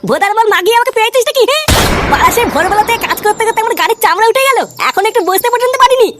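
A performer voicing a rebellious, put-upon boy in a comedy cartoon, squeaky and endlessly griping with constant swearing. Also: lively intonation, rapid-fire, heavy background noise. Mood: angry.

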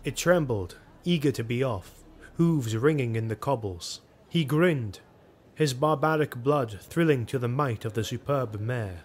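A man's voice: medium pitch, lively intonation, measured pace. A man narrating a fantasy audiodrama in a grave, ornate storytelling manner. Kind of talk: dramatic scripted audiodrama narration.

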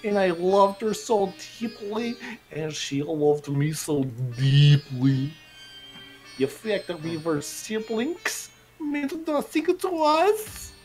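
Man voicing a slow, creepy, lovesick young man. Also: high-pitched, very animated, darkish tone, soft background music, full character voice. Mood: rapturous longing. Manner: slow, dramatic, drawn-out delivery. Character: creepy, lovesick, theatrical young man in love with his sister. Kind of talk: fan dub of a visual novel game.

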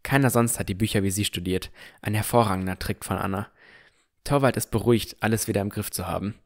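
A man's voice: fairly low pitch, fairly even intonation, fast. A man narrating a fantasy audio drama in the first person from a script.

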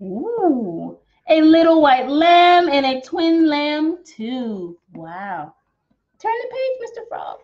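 A young American woman leads a children's storytime with a high voice that swoops up and down. She draws words out slowly and theatrically. The sound is slightly dull, as from a webcam in a room. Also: sing-song, a little mellow. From Texas, United States. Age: young adult. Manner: theatrical, exaggerated, sing-song. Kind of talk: animated picture-book read-aloud for young children. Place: small decorated room at home, indoors.

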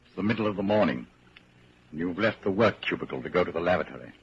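An actor playing a grave narrator in a 1940s radio drama, speaking with measured, deliberate delivery. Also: male voice, sits low, expressive, husky, exaggerated voice. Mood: grave, suspenseful. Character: grave narrator telling the listener his own story in second person. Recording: scratchy, muffled 1940s radio broadcast.

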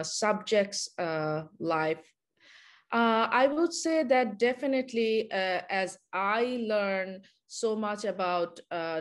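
Bangladeshi-accented woman filmmaker, lowish voice, halting with fillers, video-call guest at home. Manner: halting, many filler sounds. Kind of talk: webinar interview answer.

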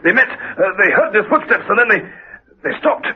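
An actor playing a flustered prison guard reporting haltingly in a radio drama. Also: male voice, sits high, animated, slightly rough. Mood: flustered, baffled. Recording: muffled, lo-fi radio recording.

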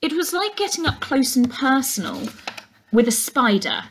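A young adult woman, a teacher, reading a children's novel aloud in expressive narration, recorded indoors on a laptop microphone. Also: high-pitched, moderate pace, a little hiss or hum, rough recording. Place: indoors, living room.

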